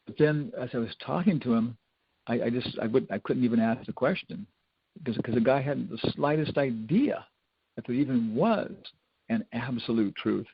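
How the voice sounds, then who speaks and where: Older man, gravelly informal spiritual lecturer. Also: medium pitch, lively intonation, moderate pace, no room sound. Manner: rambling, conversational, with wry humour. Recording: dull lo-fi lecture recording.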